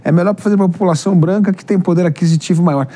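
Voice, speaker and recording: Mellow-voiced paulista man interviewer. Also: high voice, fast talker. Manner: long rambling questions with many tag questions. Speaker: interviewer, host. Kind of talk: interview questions.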